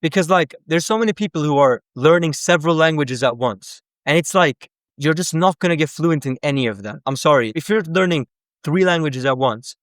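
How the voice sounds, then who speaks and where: A man's voice: a little high, a bit bright, no room sound, clean studio sound. A man from Sweden, a native Azerbaijani speaker, explaining things in casual English with plenty of "like" and "basically.". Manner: conversational, frequent "like" and "basically". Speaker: language-learning content creator. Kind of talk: casual explanatory talk to camera.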